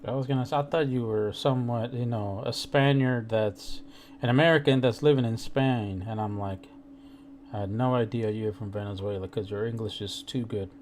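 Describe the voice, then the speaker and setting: A man's voice: medium pitch, normal speed, a little mellow, slight background noise. A man in casual conversation, blunt and sweary, often checking that listeners follow him. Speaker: crypto live stream participant. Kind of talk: casual chat.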